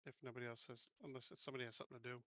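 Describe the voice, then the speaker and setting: Man, tabletop player, bright forceful voice, casual game chat, dry, slightly muffled voice-chat sound. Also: medium pitch, level tone, racing, no room sound.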